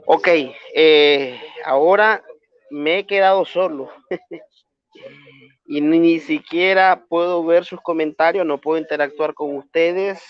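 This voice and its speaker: A man's voice: a little high, clear, resonant tone, no echo. A man from Nicaragua with a Nicaraguan accent moderating an online debate, speaking formally with frequent hesitations. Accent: Nicaraguan Spanish. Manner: measured, formal, many hesitations. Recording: dull lo-fi online stream audio.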